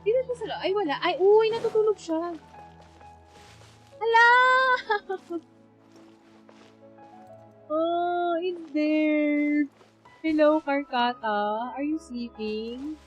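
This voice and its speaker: A woman with a Filipino accent giving expressive, casual live game commentary, chatting to her viewers. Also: high-pitched, slow pace, long pauses, lo-fi sound. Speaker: game streamer. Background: game music.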